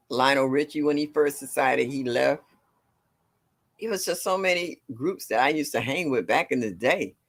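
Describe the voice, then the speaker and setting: A woman in her sixties from with a deep, low voice, chatting casually on a livestream, greeting viewers and laughing often. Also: a bit bright. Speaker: livestream host. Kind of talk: casual chat reading viewer comments.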